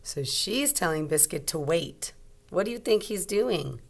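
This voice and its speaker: A woman's voice: lowish voice, animated, measured pace, creaky. A woman teacher leading a read-aloud lesson for young children, explaining simply and asking them questions.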